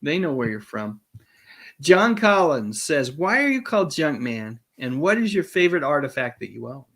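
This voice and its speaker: A man's voice: a little high, big pitch swings, normal speed, a bit bright. A man hosting a livestream, chatting casually and reading out viewer questions in a friendly, courteous manner. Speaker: livestream host.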